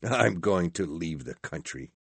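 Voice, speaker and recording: A man's voice: deep voice, expressive, unhurried, creaky, husky, no echo, heavily acted. A man voicing a grumpy, miserly old businessman in a storybook, curt, scornful and irritable. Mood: irritated, dismissive. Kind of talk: storybook character voice.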